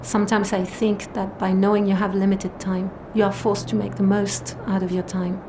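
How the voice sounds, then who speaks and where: A woman's voice: mid-range voice, little pitch movement, normal speed, lightly acted. Actress as earnest, guarded young woman, noisy background. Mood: guarded, sincere. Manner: blunt, literal, serious. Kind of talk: audio drama acting.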